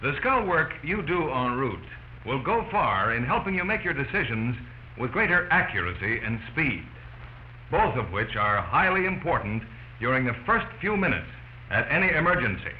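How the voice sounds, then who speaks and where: A man's voice: medium pitch, big pitch swings, normal speed, lightly acted. An American man in his thirties playing an earnest fire officer, lecturing in a scripted 1940s training film. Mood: earnest, instructive. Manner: earnest, instructional. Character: earnest uniformed male fire officer instructing crews. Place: small indoor office set. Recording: dull noisy 1940s film soundtrack.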